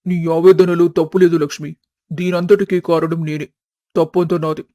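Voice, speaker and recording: A man's voice: fairly high pitch, level tone, moderate pace, warm tone, dry recording, lightly acted. A man playing a remorseful middle-aged husband and father in a family story, humble and earnest.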